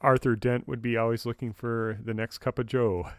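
Casting: male podcast co-host, mid-pitched, agreeable and measured, conversational, very dry close sound. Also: little pitch movement, no echo. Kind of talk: casual discussion of a radio drama.

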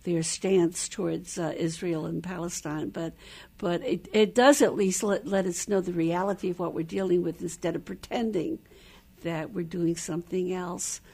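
A woman hosting an interview in a conversational, halting and reflective way. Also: fairly low pitch, steady tone, creaky, darkish tone, breathy edge. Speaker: interview host.

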